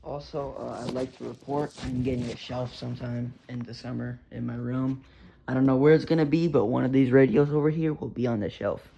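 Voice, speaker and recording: A man's voice: medium pitch, fairly even intonation, brisk, creaky, mellow. A man with a Texan accent, a radio hobbyist rambling casually and cursing now and then as he talks about his radios at home. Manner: rambling, profane, self-interrupting. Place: bedroom at home. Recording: lo-fi noisy home recording.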